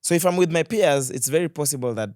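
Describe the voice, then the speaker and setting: A man with a Kenyan accent in a casual podcast conversation, telling things in an animated way with quoted asides and slang. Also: fairly high pitch, fast talker, no room sound, studio quality. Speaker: podcast guest, content creator.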